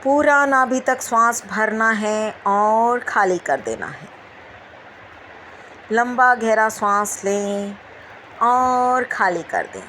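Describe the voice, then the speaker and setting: A woman leading a guided meditation, giving gentle instructions with repeated phrases and long pauses. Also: on the high side, lively intonation, a little hiss or hum, low-quality recording.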